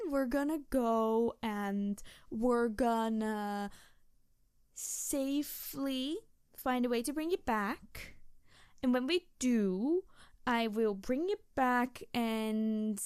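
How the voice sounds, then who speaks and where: Woman acting as playful female tanuki spirit, high-pitched, excitable, stammering, rambling, roleplay audio, dry close sound. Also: slow, clear, resonant tone, no echo. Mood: apologetic, earnest. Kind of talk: roleplay audio drama addressed to the listener.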